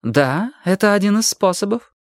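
Woman voicing a smug young man, lively intonation. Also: medium pitch, normal speed, no echo, studio quality, pitched above the performer's own voice, lightly acted. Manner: nonchalant, self-assured. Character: smug, unruffled, friendly young man, slyly condescending. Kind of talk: audiobook character voice.

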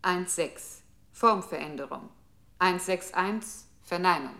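A woman's voice: on the low side, slow, sharp tone. A woman playing a computer's control voice in a radio play, giving clipped, exact commands in a strict, impersonal manner. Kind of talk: 1960s German experimental radio play.

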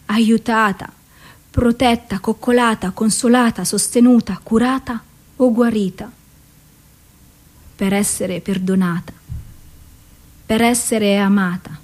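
Radio drama: tormented, despairing woman, broken-doll figure. Higher-pitched female voice, very slow delivery, intense poetic phrasing with pauses. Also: darkish tone. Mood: yearning, incantatory. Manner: deliberate, intense, short measured phrases with pauses. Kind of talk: poetic theatrical radio monologue.

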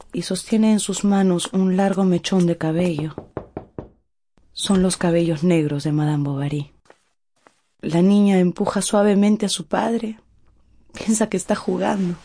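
A woman narrates a radio novel in a measured, dramatic storytelling manner with weighted pauses. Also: lowish voice, mellow, breathy edge. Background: music.

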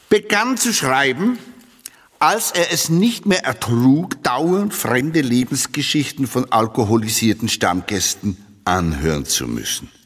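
A man reading a scripted satirical literary monologue with mock-solemn, deliberate phrasing. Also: mid-range voice, husky. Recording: long hall reverb on voice.

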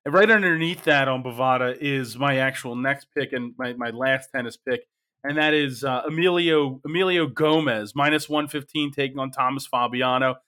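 A man hosting a casual sports betting panel, upbeat and quick to laugh, often restarting his sentences. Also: mid-range voice, bright, forceful tone. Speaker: host of a sports betting show.

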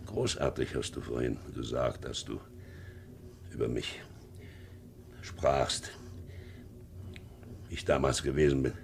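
A man's voice: very deep voice, takes it slowly, gravelly, long pauses, slight background noise, exaggerated voice. An actor playing a proud, blustering, middle-aged sea captain and domineering father in a 1950s radio drama. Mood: brooding. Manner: blustering, mocking, commanding.

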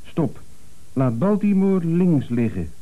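An actor playing an earnest, stubborn office employee with a hesitant, pedantic way of talking, in radio comedy. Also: male voice, on the high side, big pitch swings, slow, phone-quality sound, full character voice. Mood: puzzled, suspicious. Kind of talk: 1970s Dutch radio comedy acting.

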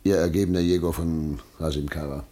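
An actor playing a dignified, principled governor in a radio drama, stern but courteous, with formal, measured delivery. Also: male voice, a bass voice, fairly even intonation, dark tone. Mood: solemn sympathy. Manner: formal, measured, dictating with pauses. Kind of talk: German radio drama acting.

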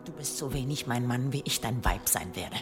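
A woman's voice: very deep voice, lively intonation, normal speed. A woman playing a proud, brave village wife in an audio drama, emotional and urgent. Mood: defiant. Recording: music under the voice.

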